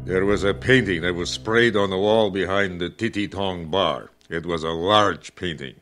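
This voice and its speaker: A man playing Nikolai, a calm and formal-speaking man who avoids contractions. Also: sits low, normal speed, soft background music. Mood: steady. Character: calm, stiff-spoken man named Nikolai. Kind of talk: amateur audio drama.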